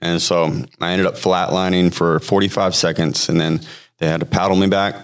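A man in his thirties, raised in Hawaii, telling his personal testimony as a podcast guest in a halting, casual way full of fillers and restarts. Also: deep voice, little pitch movement, clean tone. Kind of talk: personal testimony, conversational storytelling.

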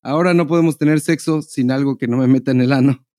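A man's voice: medium pitch, quick pace, dark tone. A man with a Mexican accent co-hosting a podcast, reading out listener messages and joking in crude, slangy casual talk. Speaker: podcast co-host.

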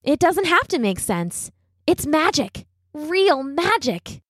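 Casting: sensible young girl, calm, slightly smug, lively pitch, woman narrator voicing her. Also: takes it slowly, no room sound, clean studio sound, full character voice. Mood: awed.

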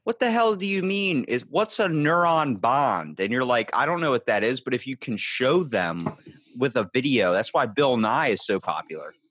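A man's voice: mid-range voice, lively intonation, moderate pace, fairly bright tone, no echo. A man hosting a podcast interview, loose, rambling and jokey in casual chat. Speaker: podcast host.

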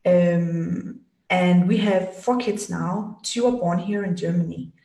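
A woman from Denmark talks slowly and haltingly, full of ums, likes and restarts, her voice a touch low for a woman. She sits a little back from the mic in an echoey room. She sounds like a guest on a casual interview show. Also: warm tone, far from the mic. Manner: hesitant, many fillers and restarts. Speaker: podcast guest, business strategist.

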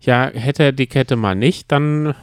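A man's voice: mid-range voice, measured pace, no room sound, studio quality. A man, chatting casually as a podcast co-host about reality TV.

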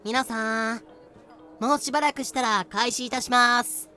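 Woman acting a brisk, sharp-tongued female organizer. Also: a little high, steady tone, faint music behind. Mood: brisk, announcing. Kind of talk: fan-made audio drama acting.

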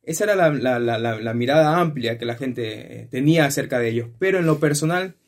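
Guy in a chat, normal pitch, keeps searching for words as he explains. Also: animated, brisk. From Ecuador. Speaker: discussion participant. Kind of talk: casual discussion.

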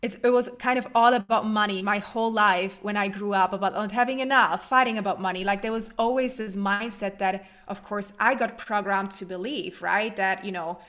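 A woman's voice: mid-range voice, fairly even intonation, normal speed, hardly any pauses, fairly bright tone. Casting: woman podcast host from Germany, mid-range voice, reflective conversational delivery. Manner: earnest, reflective, many fillers. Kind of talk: conversational interview.

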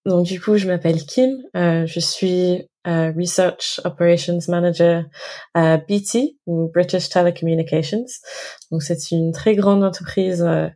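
A woman answering interview questions in a halting way, with many fillers. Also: lowish voice, fairly even intonation, mellow. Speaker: research operations manager.

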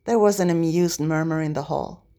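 A woman reading a story aloud as narrator, in an expressive scripted style. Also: low-pitched, normal speed. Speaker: podfic narrator.

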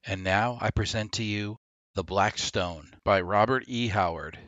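A man hosting a podcast, delivering a scripted monologue and review with emphatic, deliberate delivery. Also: lowish voice, fairly bright tone, dull audio. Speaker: podcast host. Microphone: close, dry podcast microphone.

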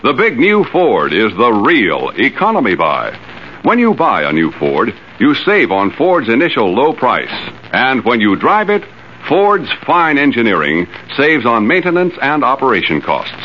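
A man's voice: mid-range voice, wildly swooping pitch, unhurried. An American man announcing in 1950s radio style, polished, emphatic and declamatory. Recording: muffled old radio recording, faint noise.

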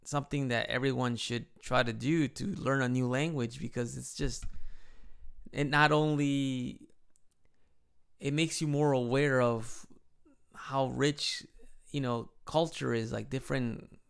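A man's voice: mid-range voice, vocal fry, fairly bright tone, clear, resonant tone. A man in his thirties from Chicago with a Midwest American accent and a slight Mexican tinge, chatting casually and rambling in a personal vlog. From Chicago, United States. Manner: rambling, chatty, frequent restarts. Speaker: vlogger living in Japan.

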